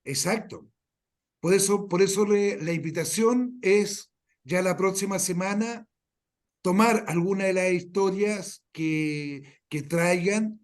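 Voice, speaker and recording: High-pitched Chilean man hosting a workshop. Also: takes it slowly. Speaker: workshop host. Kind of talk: informal workshop talk.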